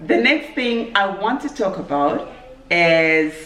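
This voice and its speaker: A woman from Zambia, chatting casually on her vlog in a relaxed, measured way and laughing easily. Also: fairly low pitch, a little hiss or hum. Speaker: vlogger. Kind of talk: casual chat. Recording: echoey room, mic at a distance.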